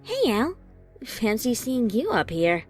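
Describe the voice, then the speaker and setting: A man's voice: extremely high pitch, wildly swooping pitch, slow pace, husky, soft background music, voice pushed up, heavily acted. A man reading a fanfic, voicing a wry young female pony in a raised voice. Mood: teasing. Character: young female unicorn mare, breezy and wry.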